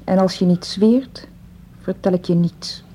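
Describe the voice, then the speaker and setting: An actress playing a frightened, insistent young English woman in a radio drama, speaking earnestly and deliberately. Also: fairly low pitch, mellow, a little hiss or hum, natural voice. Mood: urgent, insistent. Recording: dull, lo-fi radio drama recording.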